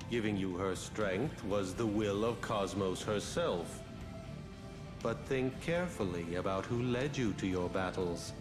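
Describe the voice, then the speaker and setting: An actor playing a grandiose, arrogant villain emperor in a video game, with a pompous, deliberate, mocking delivery. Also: male voice, lowish voice. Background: orchestral game music.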